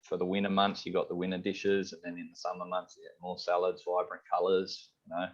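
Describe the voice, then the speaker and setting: A man's voice: low voice, brisk. A man from Sydney with an Australian accent, a head chef chatting casually in an interview, rambling with lots of "you know" and "um". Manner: rambling, relaxed, many fillers like "you know" and "um". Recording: slightly dull lo-fi audio.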